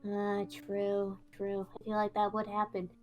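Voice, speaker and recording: A woman's voice: mid-range voice, completely flat delivery, measured pace, faint music behind, low-quality recording. A woman improvising a reformed fire mage in a game roleplay, hesitant and stammering, often repeating words.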